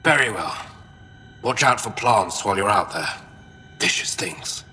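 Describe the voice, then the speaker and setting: A testy alien scholar in a video game, stranded and fed up. His voice is fairly low yet bright and forceful, and he talks in a curt, sardonic, fussy way. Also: expressive, unhurried, slight background noise, heavily acted. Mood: peevish complaining. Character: fussy, grumpy male alien historian, stranded and irritable. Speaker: historian. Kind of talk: video game dialogue acting.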